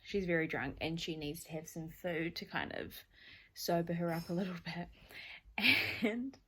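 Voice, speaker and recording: A woman's voice: lowish voice, normal speed, fairly bright tone, slightly rough. A young woman with a New Zealand accent chatting casually in a reading vlog, rambling and self-deprecating. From born in Scotland, raised in New Zealand. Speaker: book vlogger.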